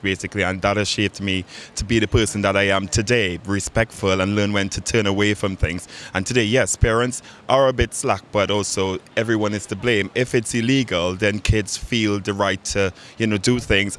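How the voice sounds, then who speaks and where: Young man with a low voice, speaking earnestly. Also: a bit bright. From Trinidad and Tobago. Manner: earnest, opinionated. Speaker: interviewee. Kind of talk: street interview answers. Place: busy city street outdoors. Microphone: handheld mic. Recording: outdoor street noise behind.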